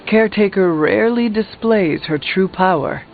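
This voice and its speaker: An actor playing a snarky, irreverent hero in a superhero video game, with a wry, sarcastic manner. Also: male voice, sits high, moderate pace, vocal fry, slight background noise. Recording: thin phone-like band, faint music behind.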